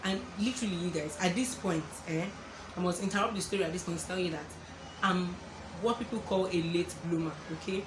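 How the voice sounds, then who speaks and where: Nigerian girl telling a story to the camera, lively, plays everybody's voices, echoey room w noise. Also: on the low side, brisk. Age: young adult. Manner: animated retelling, acting out quoted voices. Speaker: vlogger.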